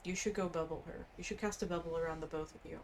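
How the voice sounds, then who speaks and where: A woman's voice: sits low, steady tone, quick pace, slight background noise. A woman playing through a game story, commenting casually with offhand asides and reading quest text aloud.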